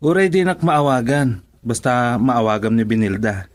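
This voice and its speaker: An actor with an Ilocano accent playing a friend who gives steady, persuasive advice in a radio drama. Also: male voice, mid-range voice, normal speed, close to an everyday voice. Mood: earnest. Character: friend giving advice, earnest man.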